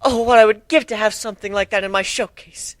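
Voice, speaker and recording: A man's voice: high-pitched, fairly even intonation, fairly quick, no room sound, voice pushed up, close to an everyday voice. A man voicing a dramatic, gushing female fashionista unicorn with a theatrical manner in a story reading.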